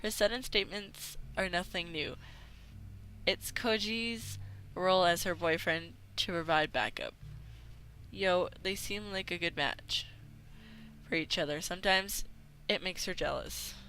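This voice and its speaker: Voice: medium pitch, measured pace, pushed and bright, slight background noise, poor audio. An amateur video game player reading a visual novel aloud dramatically, sometimes stumbling and repeating words.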